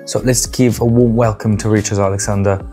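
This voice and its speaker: A man's voice: lowish voice, little pitch movement, brisk, warm tone, soft background music. A man hosting a podcast while cutting a guest's hair, chatty and asking questions, restarting his words often. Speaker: podcast host and barber. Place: barbershop.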